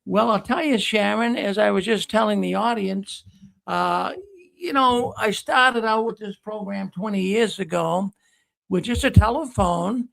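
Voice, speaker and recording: A man's voice: high voice, measured pace, gravelly, a bit bright, poor audio. A man hosting a talk program, rambling and often repeating words as he restarts sentences. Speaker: host. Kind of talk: casual talk show hosting.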